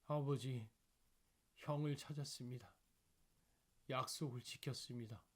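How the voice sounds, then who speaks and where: A man's voice: mid-range voice, fairly even intonation, unhurried, husky, voice pushed up. A man voicing a grieving grown son in an audio story, speaking solemnly and with emphatic emotion. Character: grown son, grief-stricken, solemn, greeting his dead father.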